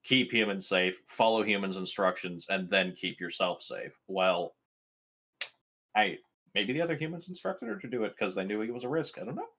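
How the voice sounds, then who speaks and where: A man playing a tabletop roleplaying game, asking questions in a casual, methodical way with hesitant pauses. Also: on the low side, lively intonation, a bit bright.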